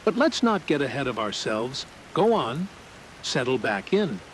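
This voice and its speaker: A man's voice: mid-range voice, very animated, unhurried, poor audio. A man hosting in an intimate, confiding late-night scripted style. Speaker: host. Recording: faint hiss and rain behind. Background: rain.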